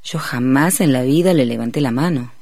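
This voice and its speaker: A man's voice: medium pitch, brisk, a little mellow, no echo, natural voice. Actor playing an indignant, scornful father. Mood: contemptuous. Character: indignant father belittling his son with contempt. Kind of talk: acted public service radio spot.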